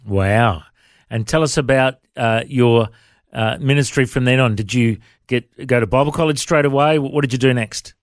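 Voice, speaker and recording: A man hosts a casual radio interview. His voice sits at a medium pitch, and it is recorded close and dry in a studio. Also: normal speed, no echo.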